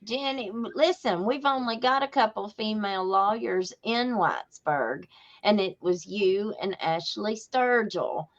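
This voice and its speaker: A woman's voice: medium pitch, measured pace, lo-fi sound. A woman with a Southern Appalachian accent, chatting casually as a podcast host in a folksy, conversational manner. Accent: Southern Appalachian American. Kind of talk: casual chat.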